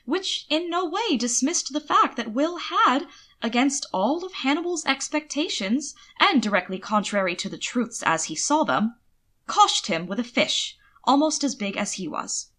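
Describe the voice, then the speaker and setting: Woman reading fanfic like an audiobook, bright clear-cut voice, expressive. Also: high voice, measured pace. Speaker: audiobook narrator of fan fiction. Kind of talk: expressive scripted narration.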